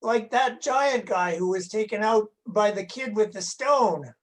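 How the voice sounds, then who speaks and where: A woman voicing a childlike, excitable and easily distracted dragon in a scripted children's church dialogue, eager and a bit hesitant. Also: medium pitch, measured pace, lightly acted. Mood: eager, chatty.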